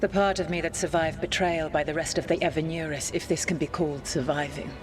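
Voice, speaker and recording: A woman's voice: deep voice, fairly even intonation, fast, slight background noise, lightly acted. A woman voicing a scornful, ancient goddess. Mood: weary. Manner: commanding, scornful. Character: imperious, wounded goddess fragment, ancient and proud. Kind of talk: video game cutscene acting.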